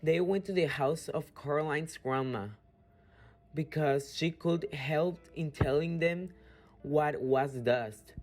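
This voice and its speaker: A woman reading scripted radio drama narration haltingly, correcting small slips as she goes. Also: deep voice, a little mellow. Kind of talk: scripted narration, read aloud. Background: music.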